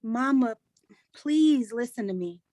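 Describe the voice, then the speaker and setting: Woman acting a gentle, pleading daughter, dry sound. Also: on the high side, animated, slow pace, no echo. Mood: nervous, pleading. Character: gentle, pleading grown daughter of the troupe's elder. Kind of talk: staged reading of a play.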